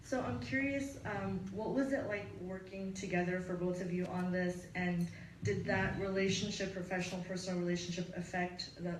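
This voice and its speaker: A woman's voice: on the low side, fairly even intonation, normal speed. Distant woman asking a press question. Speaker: audience questioner at press conference. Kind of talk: interview question. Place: indoor arts center press event. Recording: distant, echoey, faint room noise.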